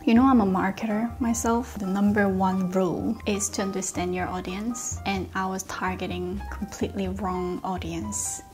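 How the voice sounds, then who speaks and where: A woman's voice: mid-range voice, darkish tone. A young woman, talking candidly to her camera in a casual vlog, slipping into whispered asides. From South Korea. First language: Korean. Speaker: vlogger. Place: home in the UK. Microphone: camera mic.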